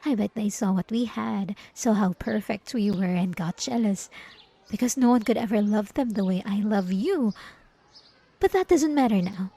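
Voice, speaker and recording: A woman playing a possessive, obsessive ex-girlfriend in an ASMR roleplay, with drawn-out, cooing condescension and sweet menace. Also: mid-range voice, lively intonation, dark tone, breathy edge. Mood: wounded, insistent. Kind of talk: ASMR roleplay monologue to the listener.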